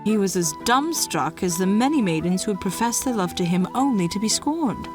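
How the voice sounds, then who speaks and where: A woman narrator with a medium pitch tells a story in a measured, dramatic style. Music plays behind her scripted delivery. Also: animated.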